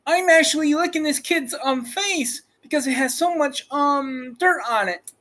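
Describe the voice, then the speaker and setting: Man putting on a high, bright silly pet animal. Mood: chatty, grumbling. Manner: halting, playful.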